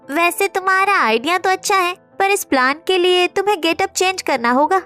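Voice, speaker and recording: A woman playing an indignant young modern flat-hunter in a story drama, with a protesting, plaintive manner. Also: very high voice, moderate pace, faint music behind. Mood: helpful. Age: young adult. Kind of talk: acted Hindi story dialogue.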